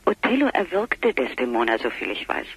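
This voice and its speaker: An actress playing a sharp-witted, teasing lover of a policeman in a radio crime drama, speaking through a telephone filter. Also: a bass voice, very animated, fairly quick, full character voice. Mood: skeptical, teasing. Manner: teasing, ironic. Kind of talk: naturalistic German radio crime drama acting. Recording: muffled telephone line with noise.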